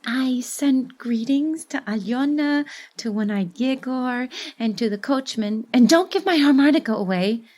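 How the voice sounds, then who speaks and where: A woman's voice: high-pitched, a little mellow, voice pushed up. A woman voicing a poor young orphan boy in a story reading, earnest, plaintive and pleading. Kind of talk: dramatised short story reading.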